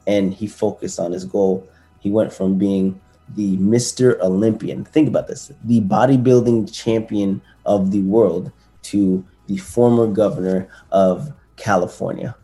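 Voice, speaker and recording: A man talks through a motivational story in a loose, earnest podcast style, circling back with fillers and restarts. His voice sits low and sounds mellow and relaxed. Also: a little slow, faint music behind. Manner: earnest, rambling with many fillers. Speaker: podcast co-host. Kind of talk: casual motivational chat.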